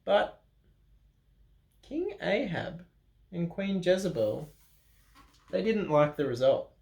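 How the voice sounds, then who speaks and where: A man reading a children's Bible story aloud in an animated way, with dramatic pauses, expressive emphasis and playful asides. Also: sits high, vocal fry. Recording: roomy, echoing room sound.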